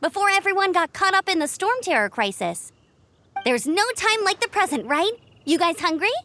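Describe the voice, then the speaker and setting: Perky, high-voiced young knight scout. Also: female voice, moderate pace, slight background noise, heavily acted. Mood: earnest, then excited. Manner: perky, earnest, expressive. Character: energetic young female knight scout, earnest and friendly. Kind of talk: English video game character acting.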